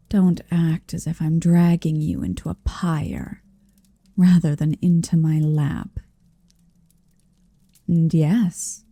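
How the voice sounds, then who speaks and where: An ancient dragoness in human shape, acted by a woman, murmurs in a very dark, velvety voice with a gravelly edge. She is haughty and doting, speaking extremely slowly and breathily as she commands and teases in an intimate ASMR roleplay. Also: lowish voice, no room sound, heavily acted. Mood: commanding, amused. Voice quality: breathy, often whispering. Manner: slow, languid, commanding, teasing.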